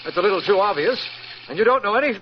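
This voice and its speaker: Earnest, higher-voiced radio-drama district attorney. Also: male voice, animated. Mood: puzzled. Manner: brisk, formal, incredulous. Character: earnest, businesslike, often baffled male district attorney. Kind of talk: old-time radio drama acting. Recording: dull, faintly noisy vintage radio recording.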